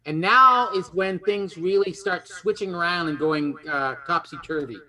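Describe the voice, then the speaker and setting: A man with a high, bright voice hosts a quiz show. He reads questions to the players and trades playful, teasing banter with them. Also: normal speed, low-quality recording. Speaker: game show host.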